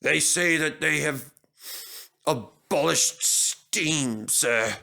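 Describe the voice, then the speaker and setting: A man's voice: on the high side, drawn-out words, creaky, sharp tone, exaggerated voice. Man voicing a proud, gloomy big steam engine. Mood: gloomy, anxious.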